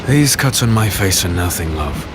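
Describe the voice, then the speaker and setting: An actor playing a defiant, battle-worn swordsman who narrates and speaks in a dark fantasy audio drama, gritty and earnest. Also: sits low, expressive, normal speed. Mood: tender teasing. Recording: loud storm noise under voice. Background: rain, thunder and storm ambience.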